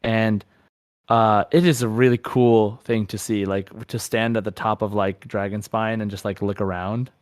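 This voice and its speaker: A man co-hosting a podcast, chatting casually about a video game in a halting, repetitive way as he searches for words. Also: on the low side, flat delivery, no echo. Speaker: podcast co-host.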